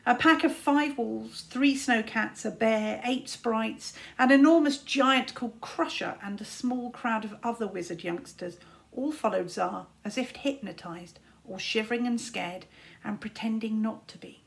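A woman in her thirties reading a storybook aloud to children, deliberately and expressively, like a teacher. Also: fairly high pitch, gravelly, low-quality recording. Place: small room at home, indoors.

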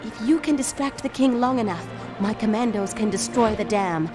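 A woman's voice: fairly high pitch, animated, moderate pace, close to an everyday voice. A woman voicing a noble, earnest insect queen in a video game, formal and regal. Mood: solemn, regal. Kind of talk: video game cutscene acting. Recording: music behind the voice.

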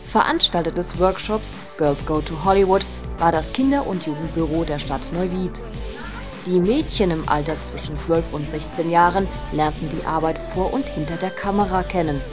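A woman reporter reading scripted narration in an even, informative way. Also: on the low side, moderate pace, music in the background.

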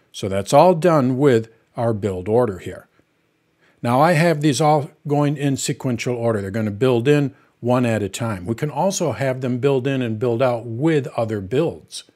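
A middle-aged man hosting a software tutorial, walking through each step in a plain, patient way as he works on screen. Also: mid-range voice.

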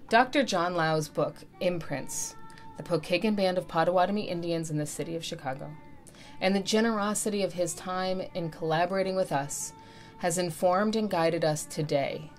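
A woman delivers a scripted, formal address. Her voice sits a little low, and there is slight noise behind it. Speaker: service speaker. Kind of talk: scripted land acknowledgement talk.

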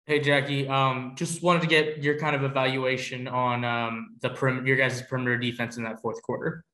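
Man, sports reporter, sharp bright tone, flat intonation, roomy echo. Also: mid-range voice, normal speed. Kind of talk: press conference questioning. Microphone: video call.

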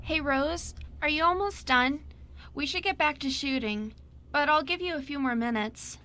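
A kind, encouraging photographer acted by a woman in an amateur radio play. The voice is high and bright, coaxing and reassuring. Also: normal speed, a little hiss or hum. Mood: gently prompting.

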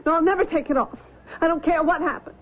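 An actress playing a shy, guilt-stricken young woman in a 1970s radio drama, emotional and halting. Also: extremely high pitch. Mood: stubborn grief. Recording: dull 1970s radio broadcast recording.

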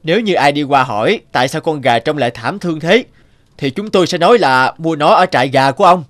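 A man's voice: a little high, animated, brisk, a bit bright, no room sound. An actor playing a crafty, stubborn older village man in a cartoon folktale, arguing in a pointed way. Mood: sly, reproachful.

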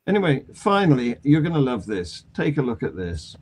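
A man's voice: mid-range voice, very animated, a little fast, breathy edge. An older man hosting a casual panel chat over a video call. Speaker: panel host. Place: room at home.

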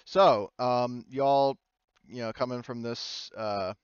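Man, game master, smooth low voice, halting informal narration, dry slightly muffled chat audio. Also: no echo. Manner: halting, informal, voices characters in his own voice. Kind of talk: narrating a tabletop roleplaying game.

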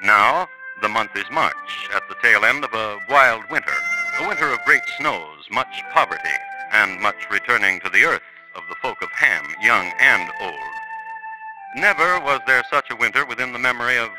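A man's voice: mid-range voice, lively intonation, normal speed, low-quality recording. A man narrating a true-crime radio drama as its host, telling it with wry, theatrical flair. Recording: vintage radio broadcast with music.

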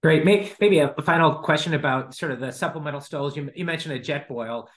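A man's voice: on the high side, brisk. A middle-aged man hosting an informal webinar, putting questions to a guest over a video call. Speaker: host relaying audience questions. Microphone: video call microphone.

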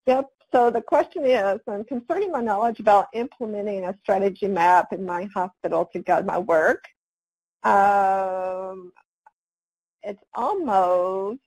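Halting woman presenting a webinar, dry sound. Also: fairly high pitch, a little mellow, breathy edge, dry recording, low-quality recording. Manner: halting, with frequent "um" fillers. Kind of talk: online webinar presentation over slides.

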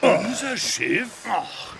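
An actor playing an excitable, good-natured adventurer with emphatic delivery in a children's audio drama. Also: male voice, high-pitched, very slow, creaky, very noisy, heavily acted. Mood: alarmed, urgent. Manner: emphatic, exclamatory.

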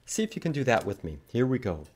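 A man in his fifties reading to young children as an animated library storytime host. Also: medium pitch, quick pace, slight background noise. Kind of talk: children's storytime read-aloud. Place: indoors in a library room.

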